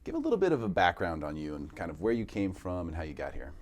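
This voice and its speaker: Lively low-voiced male talk show host. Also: fast. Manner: upbeat, lively interviewer. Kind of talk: radio talk show interview.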